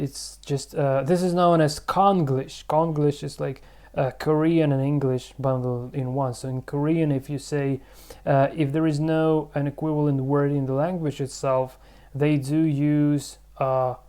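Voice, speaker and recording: A man's voice: fairly high pitch, steady tone, a little slow, warm tone. A man hosting his own channel, rambling and thinking aloud in a casual explanatory vlog, with many fillers. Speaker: channel host.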